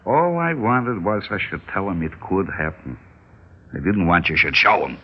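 A wry older shopkeeper from a vintage radio drama. His low voice moves in very lively swings as he needles calmly in Yiddish-inflected phrases. The sound is muffled. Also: normal speed, breathy edge. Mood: pleading, firm. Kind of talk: classic radio drama acting. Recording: muffled old radio broadcast.

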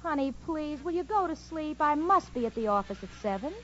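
A sensible young woman pleads with weary exasperation. Her voice is high, and she speaks slowly. The dated broadcast sounds muffled, with hiss and studio laughter behind it. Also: lively intonation, fairly bright tone. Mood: exasperated. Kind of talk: 1940s radio sitcom acting. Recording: dull old radio broadcast with audience laughter.

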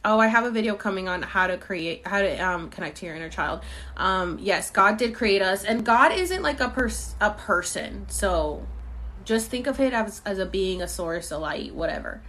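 A woman's voice: medium pitch, lively intonation, moderate pace, fairly bright tone. A young woman from Puerto Rico hosting a podcast, chatting loosely through comments on a live stream from her phone, full of ums and likes and casual swearing. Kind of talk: casual rambling chat. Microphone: phone during a live stream.

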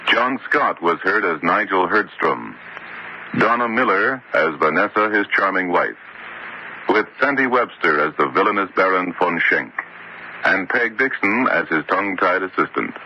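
A man's voice: low voice, pushed and bright. A man working as a radio announcer, reading scripted programme credits. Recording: muffled, faintly noisy radio recording.

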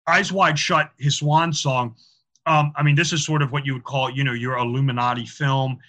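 A man gives a loose, talkative explanation, full of fillers and restarts. His voice is bright and forceful, at an ordinary middle pitch. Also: steady tone, moderate pace. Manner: chatty, many "um" and "you know" fillers, repeats words. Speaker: podcast guest, author on film symbolism. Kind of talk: casual interview talk.

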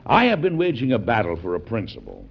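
An actor playing a principled, resolute American president in an old-time radio history drama, speaking formally and emphatically with an American accent. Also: male voice, on the low side, huge pitch swings, quick pace, vocal fry, husky, exaggerated voice. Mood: oratorical. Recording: dull, lo-fi classic radio recording.

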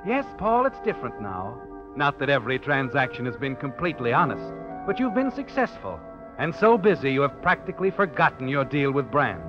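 An actor playing an ominous, all-knowing narrator in a 1940s radio suspense drama, addressing the character directly with insinuation. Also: male voice, mid-range voice, very animated, fairly quick, lightly acted. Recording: dull vintage radio recording, music behind.

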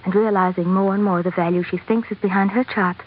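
A woman's voice: medium pitch, fairly even intonation, a little fast, natural voice. A woman playing a devoted, educated young sultana with an earnest manner in an old radio drama. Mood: confiding. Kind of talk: 1940s radio drama acting. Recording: dull, noisy old radio recording.

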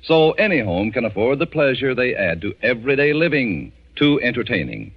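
A man reading a scripted 1940s radio commercial as announcer, polished, with deliberate emphasis. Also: mid-range voice, sing-song, breathy edge. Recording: dull 1940s radio broadcast recording.

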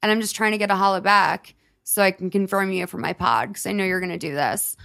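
A woman's voice: mid-range voice, quick pace, vocal fry, a bit bright. A woman in her thirties hosting a podcast, gabbing and teasing in casual chat, full of "like" fillers. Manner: gabby, teasing, lots of "like" fillers. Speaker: podcast host.

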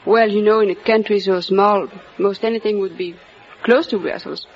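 A woman's voice: mid-range voice, fairly even intonation, normal speed. A Belgian woman, a native French speaker with a Belgian French accent, answering a radio quiz host politely and giggling often. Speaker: quiz show contestant. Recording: muffled old radio broadcast with studio audience.

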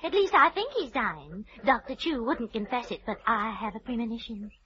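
An actress playing a chatty, fussy society matron in an old radio drama, with theatrical delivery on a muffled, noisy recording. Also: female voice, on the high side, expressive, moderate pace, bright, forceful tone. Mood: gossipy, excited. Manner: theatrical, gossipy.

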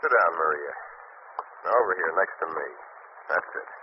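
A man announcing and acting in 1940s radio drama style, dramatic and often shouting. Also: deep voice, lively intonation, normal speed. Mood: uneasy. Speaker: announcer and actor. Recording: muffled old radio broadcast with hiss.

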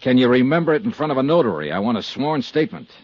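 An American actor playing a tough, dogged insurance investigator, clipped and wry, in a 1950s radio drama. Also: male voice, medium pitch, very animated, fast talker, a little mellow. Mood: probing, firm. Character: tough, dogged male insurance investigator narrating his case. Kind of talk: 1950s hardboiled radio drama narration and dialogue. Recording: muffled 1950s radio recording with music.

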